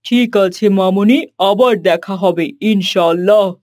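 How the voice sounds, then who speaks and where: A man playing a friendly street sweet seller in a cartoon, with kindly, drawn-out vendor speech. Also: sits high, a little mellow, no echo, studio quality. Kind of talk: cartoon dialogue with singsong vendor calls.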